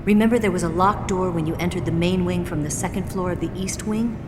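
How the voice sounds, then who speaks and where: A woman's voice: fairly low pitch, fast talker, big-room sound, background noise, natural voice. A woman playing a seductive, confident spy in a video game, with a sultry, playful and self-assured manner. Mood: businesslike. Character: seductive, confident female spy and motorcycle rider. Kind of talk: dramatic video game voice acting.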